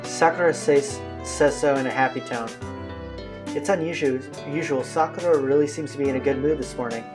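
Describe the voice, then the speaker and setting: A man's voice: mid-range voice, fairly quick. A man reading visual novel narration aloud as a let's play host, occasionally stumbling over a phrase. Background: game music.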